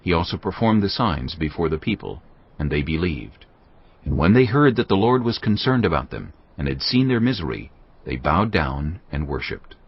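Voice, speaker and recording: A man narrating a dramatized Bible in a calm storytelling manner, with a husky voice. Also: very deep voice, moderate pace. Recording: muffled lo-fi audio.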